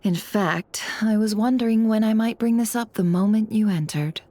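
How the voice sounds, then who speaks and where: A woman playing a suave, scheming intelligence broker in a video game, with smooth, sly, measured and theatrically polite delivery. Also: medium pitch, dark tone, dry recording, close to an everyday voice. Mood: sly, confiding. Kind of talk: video game cutscene acting. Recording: background music behind voice.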